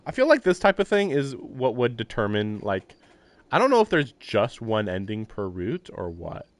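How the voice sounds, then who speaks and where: A man's voice: mid-range voice, huge pitch swings, moderate pace, no echo. A man streaming a visual novel, reading lines and thinking aloud with wry, self-deprecating asides. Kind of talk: casual let's-play commentary and reading. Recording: live stream with faint game music.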